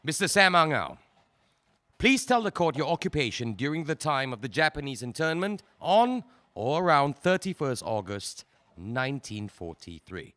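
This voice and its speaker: A man acting a prosecutor in a 1940s court, questioning in a measured, formal way. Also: medium pitch, big pitch swings, fairly bright tone, no room sound. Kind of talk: radio drama acting.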